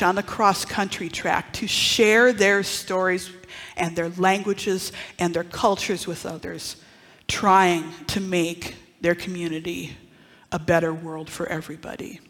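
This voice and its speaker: A preacher from northern Wisconsin giving a Pentecost-style sermon, speaking with dramatic pauses and dropping into hushed asides. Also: mid-range voice. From northern Wisconsin, USA. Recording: long reverb of a large hall.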